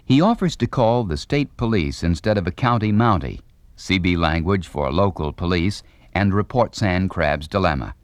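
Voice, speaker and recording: A man's voice: low voice, lively intonation, moderate pace, no room sound. A man reading scripted instructional narration with a polished, formal announcer delivery.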